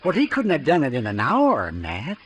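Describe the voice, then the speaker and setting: Animated, high-pitched frontier doctor. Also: male voice, moderate pace, dull audio. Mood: folksy. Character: chatty, folksy frontier town doctor, adult man. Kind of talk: 1950s radio western acting. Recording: noisy 1950s radio recording.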